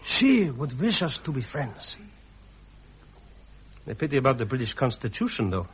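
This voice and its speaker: An actor playing an arrogant, ambitious younger Prussian royal with a husky voice and grandiose, clipped manner in a radio drama. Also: male voice, mid-range voice, sing-song, normal speed, slight background noise, natural voice. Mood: grandiose, scheming. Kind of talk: British radio drama acting.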